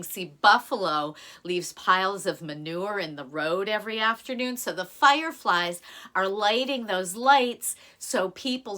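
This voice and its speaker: A patient, appreciative village chief from a folktale, told by a woman storyteller. The delivery is polite and expressive, with a bright tone. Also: mid-range voice.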